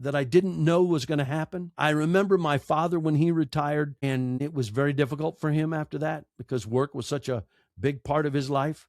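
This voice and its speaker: A man's voice: a little high, darkish tone, no room sound, clean studio sound. A middle-aged American man giving candid, conversational interview answers in an earnest, emphatic, emotional way. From United States. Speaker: interview guest, audiobook narrator and podcaster.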